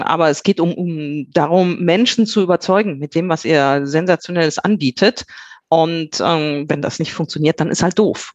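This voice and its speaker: High-voiced man giving blunt writing advice. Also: no echo, highs cut off. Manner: direct, punchy, many filler sounds. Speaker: copywriting expert guest. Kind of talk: advice to listeners in a podcast.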